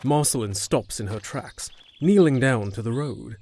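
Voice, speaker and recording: A man's voice: mid-range voice, a little slow, creaky, darkish tone. A man reading scripted narration for a fantasy audio drama.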